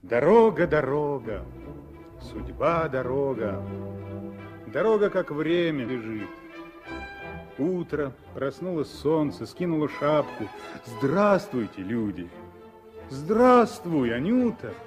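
A man narrating a 1960s radio drama as a lyrical, expressive storyteller with measured phrasing. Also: on the high side, faint music behind. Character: lyrical male storyteller of a civil war tale.

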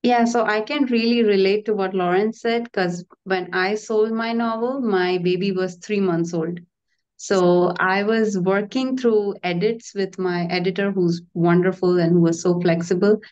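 A woman's voice: medium pitch, steady tone, measured pace, darkish tone. Woman author over dull call audio. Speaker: author, panel guest. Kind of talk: casual panel talk. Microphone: video call.

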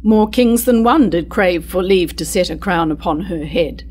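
A woman playing a queen's loyal, argumentative noblewoman mother, giving measured, formal verse in a staged reading of a tragedy. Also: fairly low pitch, a little mellow, natural voice. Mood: firm, defensive. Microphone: stand microphone at lectern.

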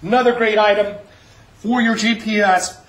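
A man pitching items for an upcoming auction in a folksy sales voice, often calling the listeners folks. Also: fairly even intonation, moderate pace, a bit bright, far from the mic. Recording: roomy, from off the mic.

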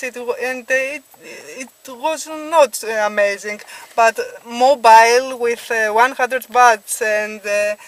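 A woman in her thirties with a Greek accent, talking as an amateur radio operator, halting as she searches for words. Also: on the high side, a bit bright, rough recording. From Greece. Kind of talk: ham radio contact, conversational. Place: inside a car at night.

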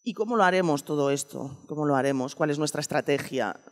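A woman's voice: low voice, animated, fast talker. A woman with a Spanish accent giving a prepared talk at a conference panel, earnest, with repeated words. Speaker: panel speaker. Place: conference hall stage. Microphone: table microphone. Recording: echoey conference hall.

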